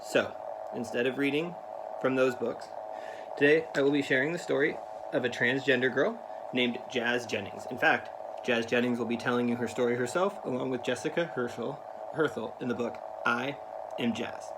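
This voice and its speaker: A man's voice: mid-range voice, normal speed, vocal fry. Earnest man reading aloud, noisy recording. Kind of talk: read-aloud of a children's picture book with spoken introduction.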